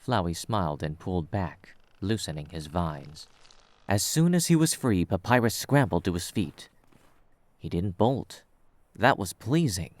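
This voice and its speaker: A man's voice: low voice, lively intonation, a little slow, dry recording. A man reading scripted third-person story narration as the narrator of an audio drama.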